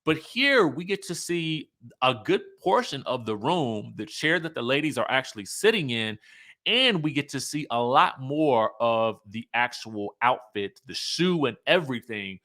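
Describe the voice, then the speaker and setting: A man's voice: mid-range voice, sing-song, a little slow, sharp tone. A man hosting a reality TV commentary show, speaking in a conversational, emphatic, opinionated way, sometimes reading out cast bios.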